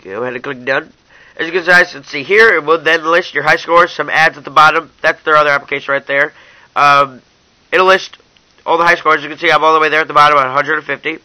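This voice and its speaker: Bright-voiced young man doing app review. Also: a little high, fairly even intonation, fast, no room sound, low-quality recording. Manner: informal, addresses viewers as you guys. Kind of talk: casual hands-on app review narration.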